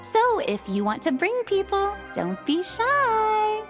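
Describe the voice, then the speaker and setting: A peppy young anime girl, full of eagerness. Her voice is very high and bounces widely in pitch, with music behind. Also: wildly swooping pitch, takes it slowly, full character voice. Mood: eager, cheerful. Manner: enthusiastic, peppy. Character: perky, eager young girl, enthusiastic film fan. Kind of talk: English anime game dub, scripted cutscene acting.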